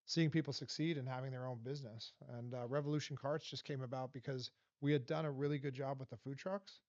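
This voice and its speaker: A man from Los Angeles in his forties, talking as a guest in a casual interview, emphatic and animated. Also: mid-range voice, quick pace, no echo. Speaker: guest, vendor advocate. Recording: dull dry podcast audio.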